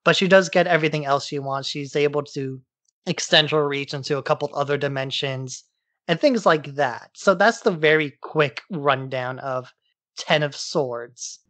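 A man's voice: a little high, moderate pace, a bit bright, dry recording. A man hosting a podcast, giving a casual, informal recap in loose everyday language. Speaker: podcast host. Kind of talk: casual comic-book recap.

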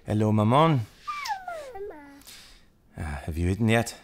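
A man's voice: lowish voice, expressive, unhurried, noisy background. A man acting a jealous, anguished working-class husband and father in a full-cast audio drama. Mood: bitter, suspicious.

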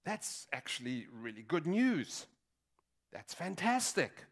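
A man in his sixties preaching a sermon in a deliberate, emphatic way, pausing between short phrases. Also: fairly high pitch, sing-song. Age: 60s. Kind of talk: sermon with statistics and teaching. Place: large dim auditorium stage at a lectern.

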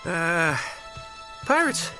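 A cocky American treasure hunter in his thirties from an adventure video game. His voice sits a little high and he talks in a casual, wisecracking way, with loud music behind him. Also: very animated, very slow, exaggerated voice. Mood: guarded, wry. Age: 30s.

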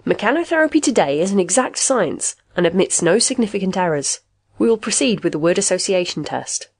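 A robot therapist voiced by a woman reader for an audio story, earnest and confident. It lectures in formal clinical language, soothing yet pedantic, with a lively rise and fall. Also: mid-range voice, a little fast, natural voice.

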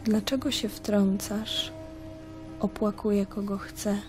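Aktorka jako powolna, jednostajna, smutna młoda księżniczka. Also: female voice, mid-range voice, level tone, takes it slowly, music in the background, close to an everyday voice. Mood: defiant. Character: grieving young princess telling her tale in third person. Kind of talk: storytelling in a radio drama.

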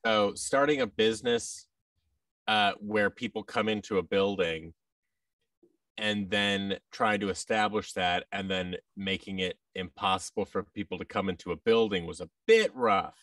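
Low-voiced, wry American man. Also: steady tone, normal speed, a bit bright, no echo, distant mic. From United States. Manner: wry, self-deprecating. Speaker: guest, voice actor and audio drama producer. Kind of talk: casual interview chat. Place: large indoor studio room. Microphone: boom-arm microphone with headset, video call.

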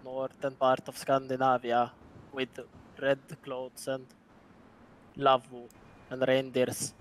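A man playing an eccentric, excitable reindeer herder in game role-play, with an earnest, halting, emphatic delivery. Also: mid-range voice, lightly acted, poor audio. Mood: earnest, proud.

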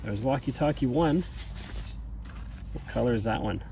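A man's voice: medium pitch, normal speed, gravelly, dark tone. A middle-aged man chatting casually as he unboxes a product, patiently prompting with questions. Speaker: father reviewing a product with his child. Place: outdoors on a grass lawn. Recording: outdoor handheld recording, distant, noisy ambience.

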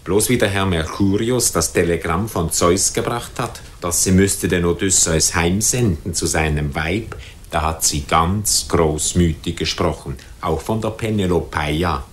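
An actor with a Swiss German accent playing a humble, deliberate office servant in a radio play. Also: male voice, low-pitched, a little hiss or hum. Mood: respectful, earnest.

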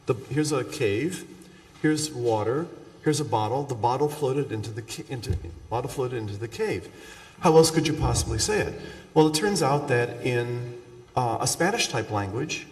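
A man giving an academic linguistics lecture, haltingly searching for words and adding explanatory asides as he talks through example sentences. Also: mid-range voice. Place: large reverberant lecture room. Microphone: far from the speaker. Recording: echoey hall, distant microphone.

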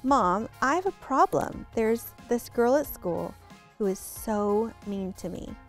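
A woman voicing a sensitive young schoolgirl in a children's storybook, with expressive delivery. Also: a little high, slow pace, soft background music. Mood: troubled. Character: young schoolgirl, sensitive, growing cheerful and confident.

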